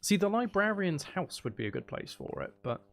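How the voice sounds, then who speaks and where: A man with a gravelly voice giving casual, rambling, sweary commentary as he thinks aloud while playing a video game. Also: high-pitched, very animated, normal speed, a bit bright. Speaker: gaming video host.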